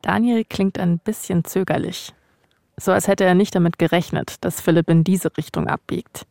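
A woman's voice: lowish voice, steady tone, normal speed, vocal fry, darkish tone, dry recording, studio quality. A woman hosting a podcast, both narrating and interviewing. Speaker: host and narrator.

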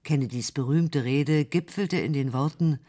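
A man with a somewhat high voice reads documentary narration. His reading is clear and composed, at an ordinary pace. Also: normal speed, warm tone.

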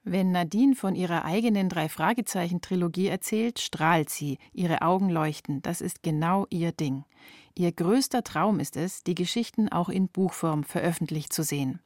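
A woman's voice: lowish voice, moderate pace, a little mellow, no room sound, clean studio sound. A woman reporter reading scripted narration for a radio feature.

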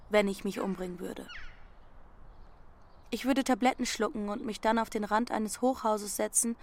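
A woman's voice: mid-range voice, natural voice. A woman playing a dreamy, stubborn teenage runaway girl who tells her story intimately in short plain phrases in a radio drama. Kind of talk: first-person radio drama narration with dialogue.

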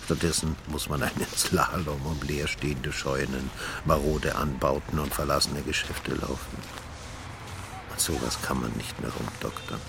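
An actor playing an insistent, self-justifying urban planner in a radio drama, explaining himself in a gravelly voice. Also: male voice, a bass voice, steady tone, normal speed, noisy background. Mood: insistent, persuasive. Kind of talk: naturalistic radio drama acting.

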